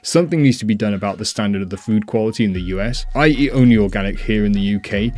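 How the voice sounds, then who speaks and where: A man's voice: on the low side, quick pace, a little mellow. A man hosting a reaction video, giving casual commentary on clips.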